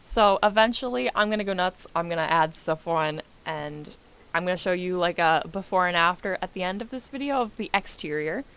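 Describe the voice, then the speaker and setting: A woman's voice: fairly low pitch, expressive, a little fast, fairly bright tone, smooth. A young American woman, a little congested, giving a rambling, self-deprecating voice-over on her game build and laughing often. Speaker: gaming YouTuber.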